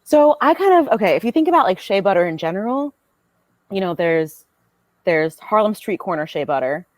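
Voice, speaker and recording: A woman's voice: medium pitch, very animated, fairly quick, darkish tone, no echo. A young American woman talking casually as a podcast guest, chatty with lots of fillers. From United States. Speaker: guest business owner.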